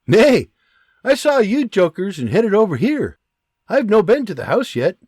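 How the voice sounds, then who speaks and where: Man playing cheerful young soldier son, warm, bit raised pitch, dry close sound. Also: huge pitch swings, measured pace, husky, no room sound, exaggerated voice. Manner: cheerful, affectionate.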